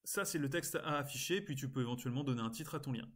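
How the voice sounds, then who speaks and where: A man with a mid-pitched voice walks through a software tutorial. His delivery is informal and instructional, moving step by step and dropping in small interjections as he goes, like someone showing a friend what to do on screen. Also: quick pace. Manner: casual, step-by-step explaining with frequent interjections. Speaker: tutorial presenter. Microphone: screen-recording voice-over.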